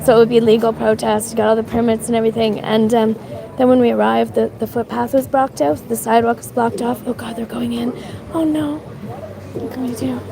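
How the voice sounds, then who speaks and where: A woman's voice: a little high, little pitch movement, a little fast, gravelly. A woman from Canada with a mixed Canadian and Irish accent, chatting on a live stream while walking outside and shivering from the cold, thanking viewers between rambling remarks with many fillers. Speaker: livestreamer. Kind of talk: casual live stream commentary. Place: outdoors on a city street. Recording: outdoor street recording with crowd.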